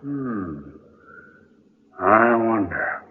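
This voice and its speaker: Slow, grave sea captain. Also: male voice, lowish voice, expressive, slight background noise. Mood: doubtful, pondering. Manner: slow, halting, weighty delivery. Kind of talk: old-time radio drama acting. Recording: muffled old radio recording.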